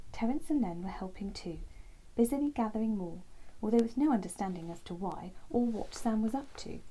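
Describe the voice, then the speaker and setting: Mellow, breathy woman reading bedtime story. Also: medium pitch, rough recording. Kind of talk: gentle bedtime story narration, scripted.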